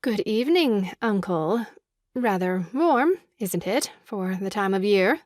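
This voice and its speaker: An actress playing a dutiful young wife in a comic farce, polite, formal and primly agreeable. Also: female voice, mid-range voice, expressive, slow, darkish tone, no echo, clean studio sound. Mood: nervously polite. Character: dutiful young wife, sweetly backing her husband's bluff. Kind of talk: comic stage farce acting.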